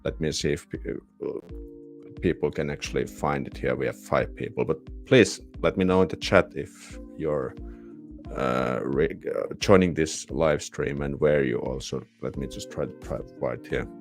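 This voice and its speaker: A man with a Finnish accent hosts a live stream, his voice sitting very deep. He rambles casually and pokes fun at himself, restarting half-said words. Soft music plays faintly behind him. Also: normal speed. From Finland. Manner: rambling, chatty, self-deprecating, with false starts. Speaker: YouTuber hosting a live Q&A.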